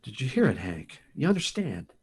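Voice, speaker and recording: Husky, frantic test pilot played by a man. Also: medium pitch, huge pitch swings, heavily acted. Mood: bewildered. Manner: halting, urgent, pleading. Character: frantic male test pilot desperate to warn of doom. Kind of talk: live performance of 1950s sci-fi radio drama.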